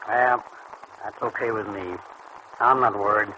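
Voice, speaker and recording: Casting: stubborn, slangy small-time crook, vintage radio drama, forceful tone on a muffled recording. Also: male voice, lowish voice, fairly even intonation, a little slow. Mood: stubbornly defiant. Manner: folksy, blunt, slangy tough talk. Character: stubborn small-time male bootlegging sweet-shop keeper called Pop. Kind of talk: old radio serial acting. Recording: muffled, faintly noisy old radio recording.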